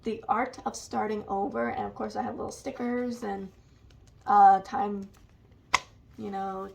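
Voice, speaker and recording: A woman with a raspy, allergy-hoarse voice, slowly and hesitantly showing a scrapbook in a casual vlog. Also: mid-range voice, little pitch movement, distant mic, noisy background. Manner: slow and hesitant, many ums. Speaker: vlogger. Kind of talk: casual show-and-tell vlog.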